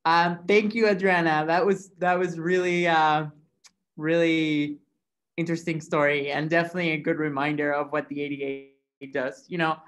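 A man's voice: a little high, flat delivery, moderate pace, a bit bright, clear, resonant tone. A man in his thirties from Mexico, hosting an online event over a video call from an office, upbeat and full of fillers. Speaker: event host. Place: office room, indoors. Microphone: video call webcam.

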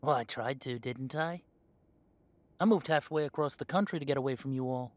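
A man's voice: mid-range voice, normal speed, no echo, close to an everyday voice. A man playing a young adult werewolf in an audio drama, an estranged heir who talks with sarcasm and indignation. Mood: sarcastic. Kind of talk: audio roleplay drama acting.

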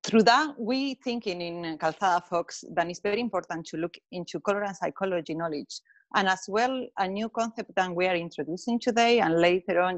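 A woman from Spain with a Spanish accent, speaking English as a second language, giving an earnest webinar talk on a video call with some halting phrasing. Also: lowish voice, dry recording. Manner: earnest, halting non-native phrasing with fillers. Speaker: webinar speaker, colour consultant. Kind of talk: online presentation.

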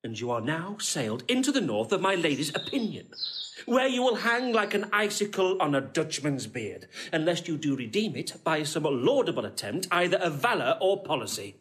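An actor playing a sly, witty, mischievous gentleman in a classic comedy audio drama, persuasive and flamboyantly mock-earnest. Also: fairly high pitch, sing-song, moderate pace. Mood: goading.

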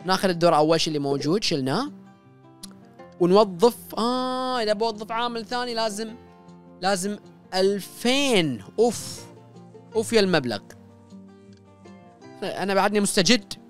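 A man's voice: high voice, expressive, fairly quick, pauses often, faint music behind. Young man playing a farm game. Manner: chatty, talks to viewers while playing, repeats short commands. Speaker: gaming YouTuber. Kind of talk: casual let's-play commentary. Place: small home studio room. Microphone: studio mic close up, wearing headphones.

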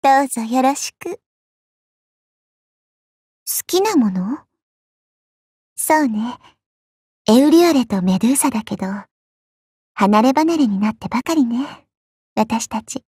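Game goddess, small and youthful: high, breathy, unhurried, coy, elegant, haughty tease. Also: female voice, lively intonation, no room sound, studio quality. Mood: aloof, playful. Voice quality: soft, breathy. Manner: elegant, coy, slow, refined ladylike speech. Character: petite, teasing, haughty young-looking immortal goddess. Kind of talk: Japanese mobile game character voice lines.

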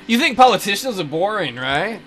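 High, bright-voiced man acting out game dialogue. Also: sing-song, a little fast. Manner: jokey ad-libbing. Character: enigmatic male tutor hinting at secrets. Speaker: let's play co-host. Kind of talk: acting out visual novel dialogue. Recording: guitar music behind.

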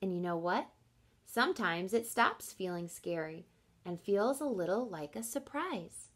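A woman voicing a caring, encouraging father in a children's storybook, with a gentle, reassuring manner. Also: mid-range voice, animated, a little slow.

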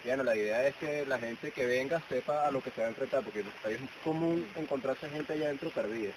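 Fast-talking young Venezuelan man, noisy recording. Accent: Venezuelan Spanish. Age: young adult. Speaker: cave guide. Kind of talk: informal safety briefing with anecdotes. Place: outdoors in a forest, by a signboard. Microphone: camera a few steps away. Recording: noisy low-quality outdoor camera audio.

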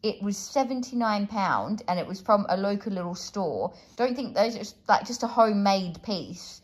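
A woman's voice: mid-range voice, lively intonation, moderate pace. An enthusiastic woman in her thirties chatting casually for a home vlog, recorded indoors on a handheld device. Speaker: vlogger. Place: living room and kitchen at home, indoors. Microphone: handheld phone or camera, near.

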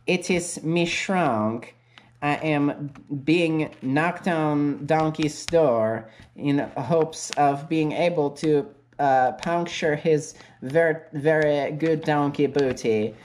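A woman's voice: sits low, takes it slowly, a little mellow. A woman voicing a pompous, lovestruck male green ogre who speaks in stilted declarations. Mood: eager.

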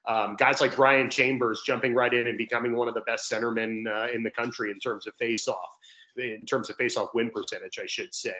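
A man's voice: mid-range voice, steady tone, fairly quick, sharp tone. A young man giving conversational sports analysis as a guest announcer on a video call. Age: young adult. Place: indoor room on a video call. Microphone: video call microphone. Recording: dull, slightly lo-fi call audio.

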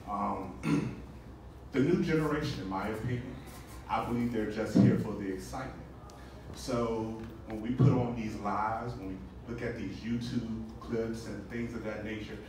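A man speaking in a measured way into a handheld mic, giving his view in a group discussion. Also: fairly low pitch. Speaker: panelist. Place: hotel conference room, standing. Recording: echoey room, from far off, noisy.

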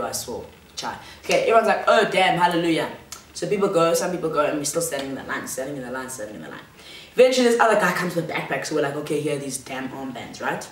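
A young woman, chatting in a low voice through an animated, slangy story. She is recorded in a roomy living room by a camera set back across the room. Faint room ambience sits behind her voice. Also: a little hiss or hum. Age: young adult. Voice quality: loud voice. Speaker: vlogger. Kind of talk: casual storytime monologue. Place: large living room, seated on armchair. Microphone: fixed camera across the room. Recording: roomy echo from the mic's distance.

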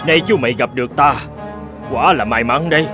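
A man's voice: medium pitch, brisk, close to an everyday voice. Man acting as a stubborn, greedy hunter, music behind. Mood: gloating. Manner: brusque, boastful, dismissive. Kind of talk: animated folk tale dialogue.

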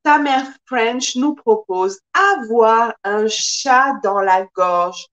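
A woman in her thirties teaches French live from a small room, recorded on a desk webcam. Her voice sits high. She speaks very slowly and expressively, drawing out each phrase for her learners. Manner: slow, careful, expressive teacher with lots of hand gestures. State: occasional coughing from pollen allergies. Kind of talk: live-streamed language lesson.

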